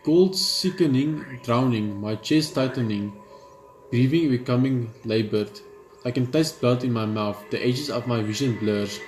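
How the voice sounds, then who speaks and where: A man gaming, reading a horror visual novel's narration aloud in a steady read, dropping blunt side remarks. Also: medium pitch, a little slow, roomy sound, faint music behind, low-quality recording. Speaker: gamer.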